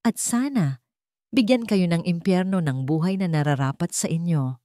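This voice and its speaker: A woman's voice: fairly low pitch, moderate pace, warm tone, no echo, studio quality, natural voice. A woman playing Celine, a wronged wife. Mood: cold, bitter. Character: Celine, hurt young wife turned coldly determined.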